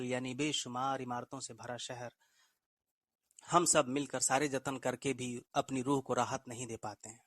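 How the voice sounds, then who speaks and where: A man's voice: medium pitch, fairly bright tone, dry recording. Guy hosting a show, formal poetic intro, flowery wording, mid voice, dry sound. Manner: formal, literary, flowery phrasing. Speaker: programme host.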